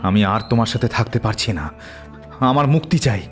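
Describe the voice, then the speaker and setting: An actor playing a fed-up, suspicious husband in an audio drama, interrogating and accusing. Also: male voice, on the low side, animated, normal speed, faint music behind, natural voice. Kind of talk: audio story drama acting.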